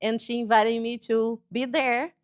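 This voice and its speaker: A woman in her forties from Brazil, whose first language is Portuguese, speaking English with a Brazilian accent in a TV interview and pausing often to find words. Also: a little high, little pitch movement, dry recording, studio quality. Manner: halting, searching for words, cheerful. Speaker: guest author. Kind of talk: casual interview chat. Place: local TV studio.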